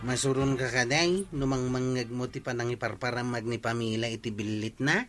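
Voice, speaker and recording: A man's voice: medium pitch, steady tone, fairly quick, a bit bright, soft background music, natural voice. A man playing a blunt, teasing villager in a comedy drama.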